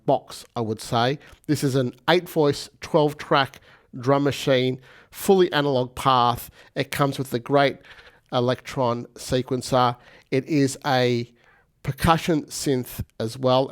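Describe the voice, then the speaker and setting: A man presenting a casual, jokey and enthusiastic product review and demo. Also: mid-range voice. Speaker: gear reviewer.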